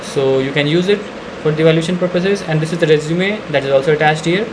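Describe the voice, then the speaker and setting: A man giving an unscripted software walkthrough in a halting, hesitant way with frequent filler pauses. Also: a little high, background noise. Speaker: software demo presenter. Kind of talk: unscripted screen-share walkthrough narration.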